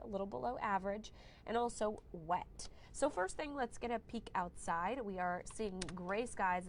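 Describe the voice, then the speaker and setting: A young adult woman meteorologist giving a friendly, conversational live weather forecast while fighting a cold, now and then clearing her throat. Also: fairly low pitch, a little hiss or hum. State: has a cold, slightly hoarse.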